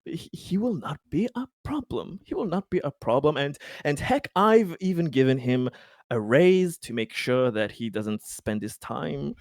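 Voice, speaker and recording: A man playing a flustered middle manager in a tabletop game, reassuring in a halting, repetitive, evasive way. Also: fairly high pitch, lively intonation, dry recording, clean studio sound. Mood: nervous placating. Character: flustered, evasive male colony middle manager placating a colleague. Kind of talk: improvised tabletop roleplay.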